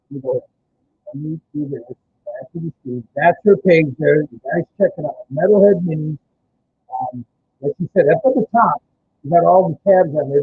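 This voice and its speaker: A man's voice: a little high, husky. A man with a Chicago accent hosting a casual livestream, rambling with stammered restarts. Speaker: livestream host. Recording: muffled lo-fi stream audio with faint noise.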